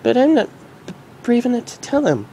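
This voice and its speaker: A man's voice: very high-pitched, big pitch swings, fairly quick, warm tone, a little hiss or hum, pitched above the performer's own voice, low-quality recording. A man voicing a shy, sweet, grown-up pegasus mare in an amateur cartoon fan-fiction reading, hesitant and stammering. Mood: bashful.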